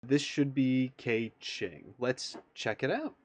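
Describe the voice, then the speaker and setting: A man's voice: mid-range voice, animated, takes it slowly, slight background noise. A man giving casual, off-the-cuff commentary as the host of a reaction video.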